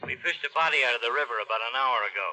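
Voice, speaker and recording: A male actor playing a blunt, forceful police lieutenant in a 1940s radio drama. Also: mid-range voice, racing. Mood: grim. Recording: dull, echoing old radio recording.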